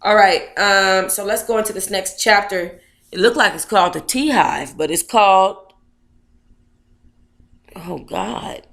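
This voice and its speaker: A woman's voice: mid-range voice, unhurried, gravelly, long pauses, fairly bright tone, reverberant. A woman on a casual livestream, talking to viewers in a playful, slangy, chatty way as she hosts and reads aloud. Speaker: livestream host reading their own book aloud.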